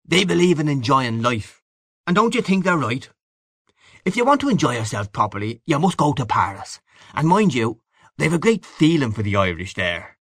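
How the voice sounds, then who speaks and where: Guy reading as a cocky worldly irish fella in an audiobook, loud hearty old-chap talk, pitched up, dry close sound. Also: big pitch swings, moderate pace, no echo. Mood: boastful, worldly. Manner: boastful, hearty, emphatic. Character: brash, worldly Irish man, patronising old friend. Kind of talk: literary audiobook character dialogue.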